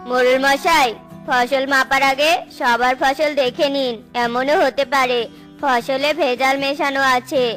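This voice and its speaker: A woman's voice: high-pitched, fairly even intonation, measured pace, a bit bright, soft background music. A woman voicing a scheming, jealous crow in a cartoon, sneering and gloating. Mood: accusing. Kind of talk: cartoon voice acting.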